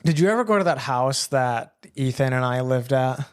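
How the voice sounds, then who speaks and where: A man's voice: mid-range voice, animated, normal speed, clear, resonant tone. An American man co-hosting a podcast in a casual, conversational style. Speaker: podcast co-host. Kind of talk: casual chat.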